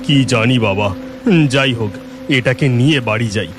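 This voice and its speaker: A man's voice: medium pitch, moderate pace, background noise, lightly acted. Man as a lamenting cartoon village farmer. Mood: curious. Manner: lamenting, exclamatory. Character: despairing village farmer, pious, plainspoken husband. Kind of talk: children's cartoon voice acting.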